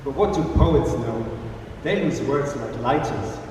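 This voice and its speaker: A man's voice: medium pitch, a little slow. A man whose first language is German, reading poems aloud at a live event in a church. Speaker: poet. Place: large echoey church hall. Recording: echoey church hall, distant mic, audible room noise.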